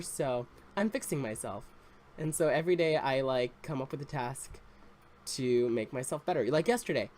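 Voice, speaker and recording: A man's voice: medium pitch, slight background noise. Congested young man rambling casually. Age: 20s. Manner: rambling and confessional, many fillers and restarts. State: congested, slightly raspy. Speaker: Sims YouTuber. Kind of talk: casual story time chat.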